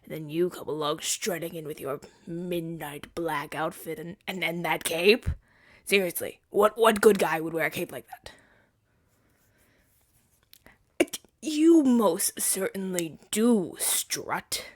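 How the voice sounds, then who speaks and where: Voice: mid-range voice, measured pace, no echo, clean studio sound, lightly acted. A woman playing a flustered, self-righteous hero in a scripted ASMR audio drama, with a theatrical, stammering delivery. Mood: indignant, teasing. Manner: stammering, indignant, dramatic delivery with breathy whispers.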